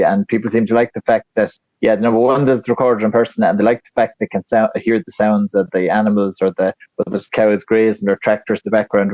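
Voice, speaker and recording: A man with a flat Tipperary Irish accent, chatting casually as a podcast guest, rambling with lots of fillers and restarts. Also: fairly low pitch, no room sound, lo-fi sound. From Ireland. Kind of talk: casual interview chat.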